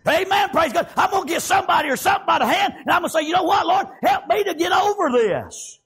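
Shouting high-pitched Southern American male preacher. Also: expressive, fast. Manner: exclamatory, peppered with repeated praise interjections; folksy, colloquial. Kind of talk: fervent revivalist sermon.

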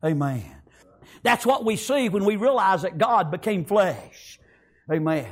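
A male preacher, delivering a shouted, rhythmic sermon full of "praise God" and "amen.". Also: high voice, lively intonation, measured pace.

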